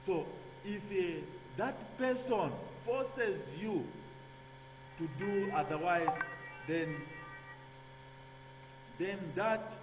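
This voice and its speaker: A man giving a classroom lecture, halting with many fillers and restarts, checking in with "okay?". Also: sits high. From Zambia. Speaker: nursing lecturer. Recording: echoey hall with hum.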